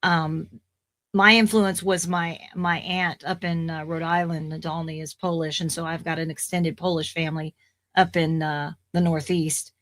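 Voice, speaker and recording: Chatty woman with a low, slightly raspy voice. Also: little pitch movement, moderate pace, a bit bright. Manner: chatty, rambling, with fillers and restarts. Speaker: voice actor guest. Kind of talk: casual podcast interview.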